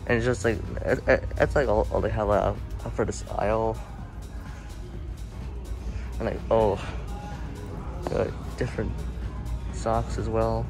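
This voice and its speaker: A young man casually narrating a shopping vlog, stammering and repeating words with excitement. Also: lowish voice, unhurried, vocal fry, long pauses, over music. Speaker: vlogger. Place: shopping mall and sporting goods store, and a car. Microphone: handheld camera.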